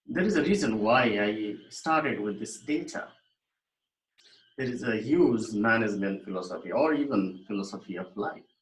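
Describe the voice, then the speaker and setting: A man, lecturing on a recording in a fairly low voice. His explanations are thoughtful and broken by fillers and restarts, and the room adds a noticeable echo. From Nepal. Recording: echoey room.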